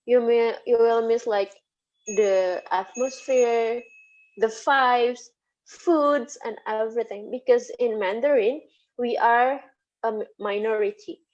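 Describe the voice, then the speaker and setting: Woman lecturer, Indonesian accent, Sundanese native. Unhurried, hesitant interview answers, slightly dull recording. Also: a little high, darkish tone. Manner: hesitant, halting, repeats words. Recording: dull, lo-fi audio.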